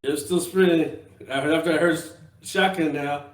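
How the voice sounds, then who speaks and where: A man's voice: a little high, steady tone, unhurried. A man chatting casually as a player in a tabletop roleplaying game. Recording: echoey, distant from the mic.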